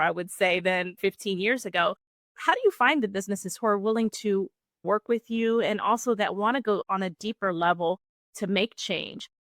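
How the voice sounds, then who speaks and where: A woman's voice: medium pitch, fairly bright tone, dry recording. Agreeable woman co-host, dry mic. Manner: agreeing, then asks a question. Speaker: podcast co-host. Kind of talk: conversational interview.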